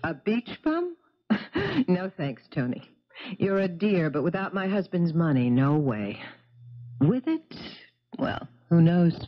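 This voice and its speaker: A woman's voice: on the low side, big pitch swings, slow pace. An actress playing a scheming, discontented glamorous wife in her thirties in a 1970s radio drama. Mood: mocking, calculating. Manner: bitter, mocking. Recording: dull 1970s radio recording.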